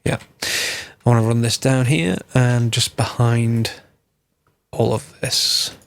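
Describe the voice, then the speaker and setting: A man, his voice slightly hoarse from a cold and gravelly with fry. He talks through a game tutorial casually, rambling and correcting himself as he goes. The sound is dry and close, with no room around it. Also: medium pitch, little pitch movement, takes it slowly, a bit bright, no room sound. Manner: rambling, self-correcting, thinking aloud.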